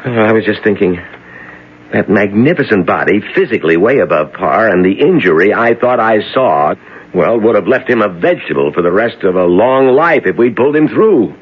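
An actor playing an earnest young doctor who speaks hesitantly and apologetically. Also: male voice, on the low side, expressive, fairly quick, breathy edge. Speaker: junior hospital doctor. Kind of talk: 1970s radio drama acting. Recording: dull lo-fi vintage radio recording.

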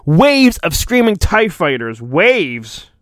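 Theatrical man with dramatically swooping pitch. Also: slow, no room sound, clean studio sound. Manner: theatrical, jokey, breaks into deadpan asides. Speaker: podcast host. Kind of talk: mock-dramatic storybook reading with ad-libbed asides.

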